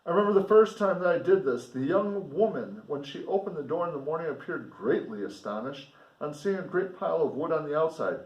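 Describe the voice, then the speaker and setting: A man reading a novel aloud as a teacher, in a deliberate, explanatory manner with casual asides. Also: on the high side, lively intonation, darkish tone, lo-fi sound. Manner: deliberate, explanatory, pausing to comment for students. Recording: roomy echo, distant microphone.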